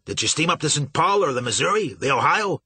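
A blustering riverboat captain barks his demands in a raised, husky voice that leaps up and down, as a man reads him aloud. He swears freely and is forever pushing for answers. The reading is bright and dry. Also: medium pitch, lively intonation, fairly quick, dry recording, full character voice. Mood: angry bellowing. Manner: loud, cursing, demanding.

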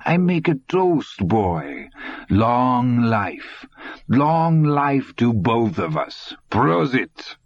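A man voicing a sly, cold old man, speaking slowly with silky, gloating mockery, in a dull lo-fi audiobook recording. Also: mid-range voice, very animated, no echo, pitched above the performer's own voice, full character voice. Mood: mocking. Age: older.

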